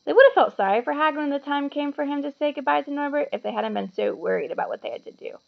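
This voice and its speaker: A woman reading a story aloud to children in an animated, explanatory way, with chatty asides. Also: sits high, fast talker, creaky, highs cut off.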